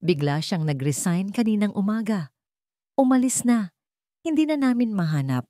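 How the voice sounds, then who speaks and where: A woman's voice: mid-range voice, sing-song, normal speed, dark tone, no echo, natural voice. Grave investigator acted by a female narrator, Filipino accent, urgent reporting, audio drama, dry sound. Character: investigator urgently reporting bad news to superior.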